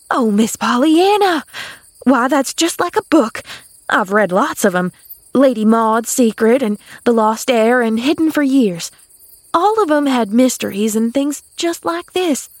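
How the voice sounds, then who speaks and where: Woman voicing an excitable, gossipy rustic housemaid, high-pitched. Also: dry recording, clean studio sound. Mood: awestruck, eager. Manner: dramatic, folksy, ungrammatical dialect, repeats herself. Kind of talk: audiobook character voice.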